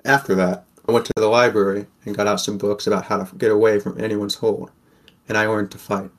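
A man playing a wary, sardonic sixteen-year-old boy who narrates an audio drama in the first person. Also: lowish voice.

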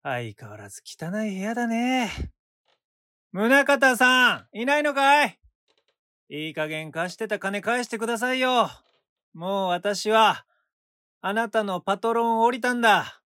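An actor playing a blunt art patron in a radio drama, talking brusquely and colloquially, then narrating in a hushed voice. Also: male voice, sits high, expressive, a little slow, dry recording, studio quality, heavily acted. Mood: impatient. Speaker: former patron of the painter. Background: background music.

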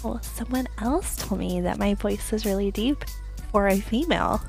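A woman co-hosting a casual wedding podcast with her husband, joking and laughing often, her voice a bit hoarse and deep. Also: moderate pace, a little mellow, soft background music. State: slightly hoarse, voice gone deep. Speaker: podcast co-host.

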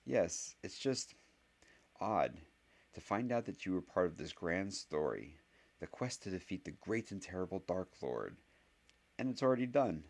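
A man voicing a clever, curious young boy wizard who talks quickly and analytically. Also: low voice, very animated, full character voice. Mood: earnest.

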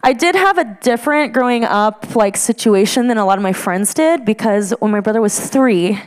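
Young woman, youth leader, smooth voice, casual testimony with lots of fillers. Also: a little high, animated, normal speed. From Texas. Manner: chatty, self-deprecating, many fillers. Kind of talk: personal testimony to students.

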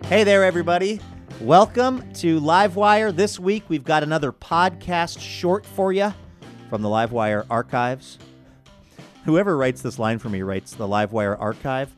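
A man's voice: on the high side, animated, moderate pace, soft background music. A man hosting a radio show, talking in a conversational, enthusiastic way with wry asides. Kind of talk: upbeat show promo and interview talk.

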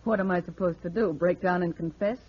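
An actress playing a hard, defiant murderess with a desperate streak in an old-time radio drama. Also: female voice, fairly low pitch, fairly even intonation, a little fast, breathy edge. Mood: defiant, cool. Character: cold, defiant murderess cracking into desperate panic. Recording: muffled vintage radio recording.

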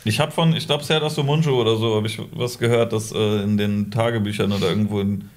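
A man appearing as a guest on a podcast, telling stories in a rambling, relaxed way. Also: on the low side, fast. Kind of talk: casual podcast chat.

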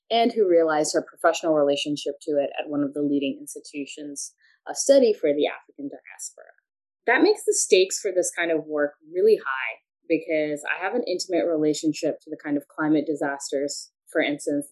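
A woman with a notably low voice, born in Pakistan, speaking as a guest professor. She answers in a measured, thoughtful academic manner. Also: a little mellow, poor audio. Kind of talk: academic interview.

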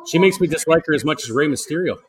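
A man's voice: fairly high pitch, animated, fast talker, breathy edge. A man co-hosting a live wrestling podcast, making opinionated, critical remarks in casual chat. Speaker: podcast co-host.

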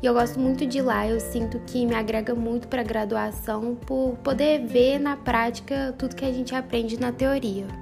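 A woman's voice: fairly high pitch, steady tone, moderate pace, vocal fry, few pauses. A Brazilian woman of twenty-one, a psychology student and clinic intern, introducing herself in a friendly, eager way. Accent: Brazilian Portuguese. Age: 20s. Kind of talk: self-introduction. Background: guitar music.

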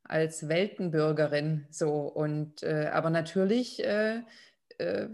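A woman's voice: low voice, takes it slowly, vocal fry, darkish tone. A woman raised in Germany, chatting casually as the one asking questions and murmuring agreement often. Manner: attentive listener, frequent murmured agreement. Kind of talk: casual conversation.

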